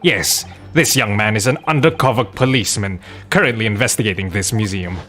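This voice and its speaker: A man's voice: fairly low pitch, brisk, a bit bright, a little hiss or hum, close to an everyday voice. A man voicing a pompous, theatrical, eccentric detective from a video game, declaiming grand deductions with dramatic flourishes. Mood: grandly confident. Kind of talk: theatrical read-aloud of video game dialogue.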